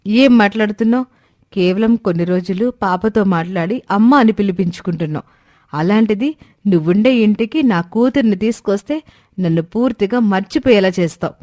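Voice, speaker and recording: A woman's voice: mid-range voice, fairly quick, a little mellow, no room sound, clean studio sound, natural voice. A woman voicing an angry, suspicious young mother who is fiercely possessive and accusing, in a storybook drama. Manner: accusing, confrontational.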